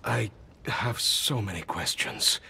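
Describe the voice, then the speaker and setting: A man playing a stoic, courteous hitman with a husky voice, speaking formally and deadpan in a video game cutscene. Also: fairly low pitch, slow, slight background noise, exaggerated voice. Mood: puzzled, formal.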